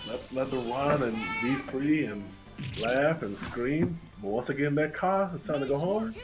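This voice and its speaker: A man's voice: medium pitch, normal speed. A man chatting casually off-mic in the background, with video game music playing.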